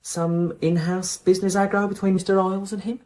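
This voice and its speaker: A man's voice: high voice, level tone, a little fast, darkish tone, lightly acted. A man playing a cocky small-time drug dealer in a radio crime drama, chummy and ingratiating with a salesman's patter. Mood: probing, sly.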